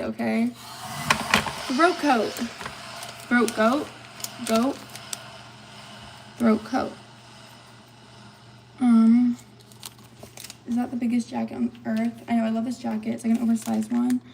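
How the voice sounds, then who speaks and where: A raspy, gravelly-voiced woman in her twenties, a bit hoarse, chatting casually through a livestream. She talks a little higher than most women and peppers everything with "like". Faint background noise sits under her voice. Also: a little mellow, low-quality recording. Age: 20s. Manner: chatty, lots of fillers like "like". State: slightly hoarse.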